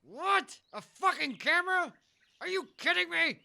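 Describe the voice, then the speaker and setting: Man playing an irate, bright-voiced Bigfoot dad. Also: extremely high pitch, expressive, a little slow, heavily acted. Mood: outraged. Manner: gruff grumbling that erupts into indignant ranting. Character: irate, foul-mouthed Bigfoot father who despises humans. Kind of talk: comedic radio play acting.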